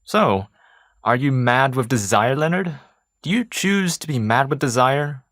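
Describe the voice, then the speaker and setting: A man plays a teasing young friend who likes to probe. The pitch is middling and the delivery is conversational. It is recorded dry and close. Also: expressive, measured pace, a bit bright, dry recording. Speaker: actor in a student play. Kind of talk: conversational stage dialogue.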